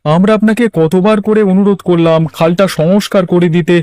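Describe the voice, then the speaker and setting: A man's voice: high voice, steady tone, normal speed, darkish tone, clean tone, dry recording, lightly acted. A man voicing an anxious, lamenting poor village farmer in a cartoon, plaintive and exclamatory. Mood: plaintive, indignant. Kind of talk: Bengali cartoon voice acting.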